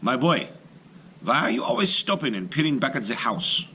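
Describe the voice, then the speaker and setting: A man's voice: mid-range voice, big pitch swings, moderate pace, a little hiss or hum, pitched above the performer's own voice. A man voicing a worried father in a folktale, asking his son questions in a raised voice. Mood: puzzled. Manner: raised, gently questioning put-on voice.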